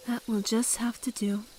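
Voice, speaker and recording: Woman acting formal, high-voiced mysterious forest woman. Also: background noise, full character voice. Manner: formal, measured, old-fashioned phrasing. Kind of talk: audio drama acting.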